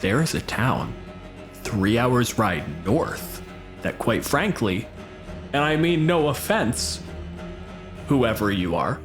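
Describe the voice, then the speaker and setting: Man, game master, animated pitch, sweary and teasing, tabletop narration, light music behind. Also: slow, long pauses. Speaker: game master and podcast host.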